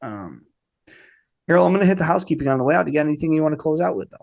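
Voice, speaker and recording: A man hosting a casual film podcast, speaking informally and haltingly with a slight cold in his voice. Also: on the high side, expressive, creaky, a little mellow. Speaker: podcast host.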